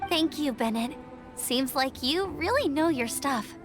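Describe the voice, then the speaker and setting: Earnest young game heroine, high-pitched, very lively intonation, expressive, a little bashful. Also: female voice, unhurried, music in the background. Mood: grateful. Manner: expressive, eager, slightly bashful. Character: friendly, earnest, energetic young female adventurer. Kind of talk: video game voice acting.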